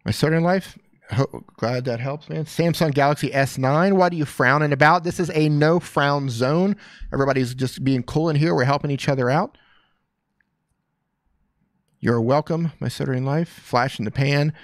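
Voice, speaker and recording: A man's voice: a little high, normal speed, dry recording, studio quality. A man hosting a live stream, giving casual, upbeat coaching advice on channels and reading viewer comments aloud. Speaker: YouTube coach reviewing channels.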